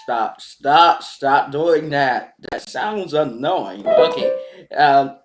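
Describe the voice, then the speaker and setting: A man's voice: medium pitch, drawn-out words. A man talking in a meandering, hesitant casual monologue for a vlog, with frequent ums and restarts. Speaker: vlogger. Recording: loud keyboard piano music over the voice. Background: loud piano and keyboard music.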